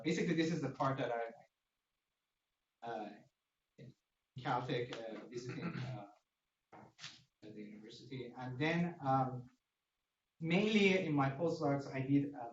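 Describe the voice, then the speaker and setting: A man from Iran with an Iranian accent, giving an academic seminar talk with halting, hesitant phrasing. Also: medium pitch. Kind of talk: academic lecture. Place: indoor seminar room at a lectern. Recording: echoey room, distant mic, dull noisy feed.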